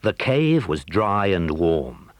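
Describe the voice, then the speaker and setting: A man's voice: low voice, very animated, slow, dry recording. A man narrating a children's adventure story from a script.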